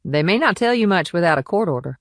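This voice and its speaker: A woman's voice: fairly low pitch, expressive, quick pace, no echo, clean studio sound. A woman playing a guarded, blunt, no-nonsense small-town cop in audiobook dialogue. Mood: guarded, dry.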